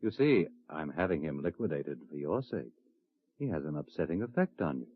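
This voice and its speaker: A man's voice: very deep voice, very animated, moderate pace, no room sound, heavily acted. An actor playing a cold, controlling male state official, smug and coldly sarcastic, in a vintage radio drama. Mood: icy. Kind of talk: 1950s radio drama acting. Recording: dry, muffled vintage radio broadcast.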